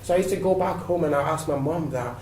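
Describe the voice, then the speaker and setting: A man from Nigeria, a guest on a talk show, telling stories in a relaxed, reflective way with many fillers. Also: a little high, fast talker. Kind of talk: interview answers. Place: TV studio. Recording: roomy echo, mic at a distance, faint noise.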